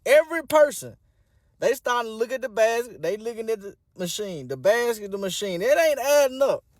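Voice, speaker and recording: A man's voice: very high-pitched, very animated, fairly quick, no room sound. A man comically and profanely griping to camera. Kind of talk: storytelling to camera.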